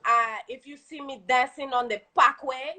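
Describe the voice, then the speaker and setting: A woman with a Haitian accent talks at length over a video call, with a bright, slightly high voice. She is a chatty, animated guest who laughs easily and keeps the conversation flowing. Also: normal speed. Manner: talkative, animated, laughs often. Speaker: guest entrepreneur. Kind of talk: casual live-stream chat.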